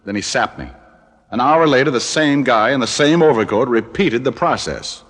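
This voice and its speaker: A man's voice: medium pitch, lively intonation, moderate pace. An actor playing a sardonic, unflappable male private detective who also narrates, in 1940s radio drama style. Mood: dry, defiant. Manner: sardonic, dry wit. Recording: old radio recording with loud music.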